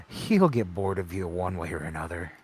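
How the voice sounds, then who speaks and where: A man's voice: deep voice, sing-song, unhurried, darkish tone, breathy edge, exaggerated voice. A man voicing a shifty, evasive, teasing goat owner in an improvised comedic fan dub of a fantasy game, with stammering, repetitive delivery. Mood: dismissive, teasing. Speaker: amateur live fan-dub voice actor. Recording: background music under the voice.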